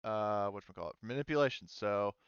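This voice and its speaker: Low, clean-voiced man running a tabletop game. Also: smooth, no echo, dull audio. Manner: halting, thinking aloud. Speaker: game master. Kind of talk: casual tabletop game narration and rules talk.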